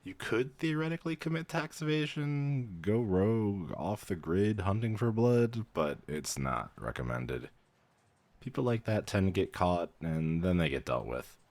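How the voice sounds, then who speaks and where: A man's voice: fairly low pitch, very animated, unhurried, a little mellow. A man playing a weary, friendly young vampire mentor in a roleplay audio, dryly professional yet casual and a little hesitant. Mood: dry, helpful. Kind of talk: roleplay audio, conversational acting.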